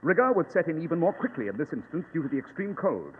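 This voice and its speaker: An actor playing a brilliant, precise English detective with a British accent and a formal, pompous manner in old radio style. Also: male voice, a little high, very animated, fast. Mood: confident, deductive. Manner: pompous, exact, formal deduction. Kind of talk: 1940s radio acting. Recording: tinny, reverberant, noisy old radio recording.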